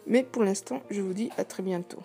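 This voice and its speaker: Young woman, hoarse, quiet and hesitant, mellow tone, faint music under her voice. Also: mid-range voice, a little fast. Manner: speaks softly, hesitant with many fillers. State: hoarse, sore throat. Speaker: art channel host. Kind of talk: casual vlog voice-over about painting.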